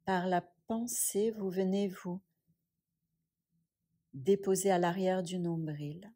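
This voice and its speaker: A woman instructor guiding a yoga relaxation meditation, speaking softly and soothingly in a breathy, hushed voice with long pauses. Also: on the low side, steady tone.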